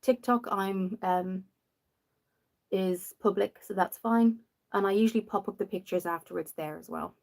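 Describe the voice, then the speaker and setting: A young woman from Dublin with a softened Irish accent, rambling casually while hosting a makeup livestream and answering viewers. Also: mid-range voice, normal speed. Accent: Dublin Irish, softened after years in the UK. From Ireland. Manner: chatty and rambling, reads out viewer comments.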